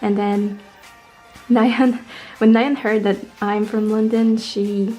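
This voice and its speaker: Mellow young woman chatting, vlog style. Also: a little high, level tone, takes it slowly, faint music behind. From Poland. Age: young adult. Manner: chatty, informal. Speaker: vlogger. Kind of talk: casual storytelling to camera.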